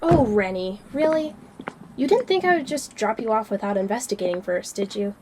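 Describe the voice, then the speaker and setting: A woman playing a kindly, brisk and fussy tutor in an audio drama, affectionate but gently scolding. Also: mid-range voice, animated, background noise, natural voice.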